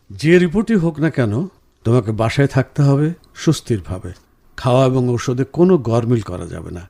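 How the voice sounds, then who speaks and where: A man playing a caring, reassuring husband in a radio drama, speaking in an earnest, measured way. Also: mid-range voice, mellow, no room sound, lightly acted. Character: caring, reassuring husband, senior professional, firm but gentle. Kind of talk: Bengali radio drama acting.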